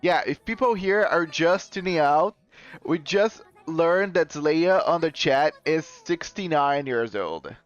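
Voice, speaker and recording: A man's voice: a little high, animated, slow. A man streaming live and chatting casually with his viewers, rambling and often correcting himself with a chuckle. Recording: dull lo-fi stream audio with faint music.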